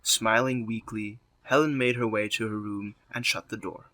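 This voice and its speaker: A man's voice: on the low side, steady tone, normal speed, background noise. A man narrating a scripted drama story as its storyteller.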